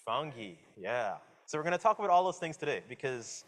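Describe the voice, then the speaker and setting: A man in his forties with a slight Caribbean accent, his voice sitting in a middle pitch. He gives a relaxed public lecture, tossing questions out to the audience. His talk is loose and friendly, full of fillers and restarts. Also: brisk. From Guyana, raised in Jamaica. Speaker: guest lecturer, professor.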